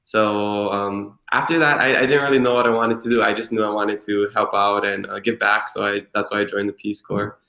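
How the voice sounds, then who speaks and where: A man in his twenties from Hilo, Hawaii, a guest telling his story in a relaxed, rambling way full of "you know" and "like". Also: on the low side, a little fast, vocal fry, hardly any pauses, roomy sound, poor audio. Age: 20s. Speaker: interview guest. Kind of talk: casual conversational storytelling.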